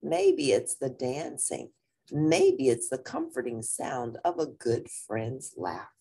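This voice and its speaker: A woman with a husky voice reading a picture book aloud to young listeners in an animated, deliberate manner, with brief asides. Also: very deep voice. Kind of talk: expressive children's read-aloud with brief asides.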